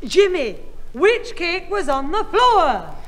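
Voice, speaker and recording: A woman's voice: very high-pitched, very animated, takes it slowly, a bit bright, full character voice. A woman playing a harried, reproving middle-aged mother in a 1960s radio sitcom, flustered as she keeps up appearances. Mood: exasperated. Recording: noisy old radio, reverberant hall.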